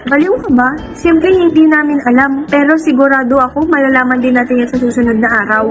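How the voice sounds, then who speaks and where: A woman's voice: sits high, fairly quick, crowd noise, natural voice. A woman playing a gossiping, judgmental young student in an amateur radio drama.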